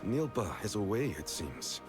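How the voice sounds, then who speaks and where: A composed, low-voiced swordsman. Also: sing-song. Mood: calm, reflective. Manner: measured, formal, serious. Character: composed, vigilant male swordsman, earnest and reserved. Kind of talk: fantasy video game voice acting. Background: game music.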